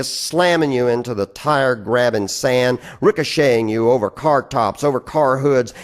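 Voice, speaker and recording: Slow, expressive man reciting poetry. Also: mid-range voice. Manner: deliberate phrasing with theatrical emphasis. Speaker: reader of poetry. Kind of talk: dramatic spoken-word poetry recitation.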